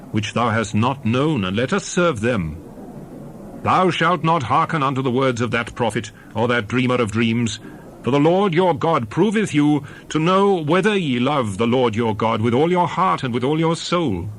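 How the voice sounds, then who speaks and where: A man narrates scripture in a dramatized, solemn style, declaiming each phrase with emphasis. His mid-pitched voice rises and falls in lively, sweeping inflection. A faint noise sits behind the reading.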